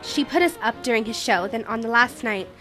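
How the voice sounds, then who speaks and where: A wistful, troubled magician's assistant played by a woman speaks in a fairly high voice, hesitant and earnest. It sounds like a noir radio drama client, with music underneath. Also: animated, a little fast, pushed and bright. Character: troubled, wistful young female magician's assistant seeking help.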